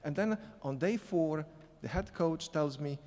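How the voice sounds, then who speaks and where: A man speaking English with a non-native accent, telling a story on a conference panel, halting and restarting often. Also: fairly high pitch, a little mellow. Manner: halting, many restarts and fillers. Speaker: sport psychology consultant on a panel. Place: conference hall. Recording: echoey hall reverb.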